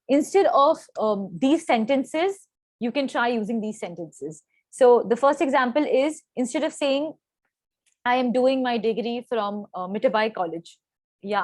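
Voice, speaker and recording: A woman's voice: on the high side, no echo, studio quality. A young woman with an Indian accent, coaching pageant contestants in an instructional talk and mixing Hindi phrases into her English. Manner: switches into Hindi phrases mid-sentence; clear, coaching tone with frequent "right?" checks. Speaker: pageant trainer.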